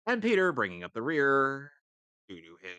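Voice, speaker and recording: A man's voice: mid-range voice, wildly swooping pitch, normal speed, pushed and bright, clean tone, no room sound. A man co-reading a story aloud on a livestream, in casual narration with asides. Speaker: livestream co-reader.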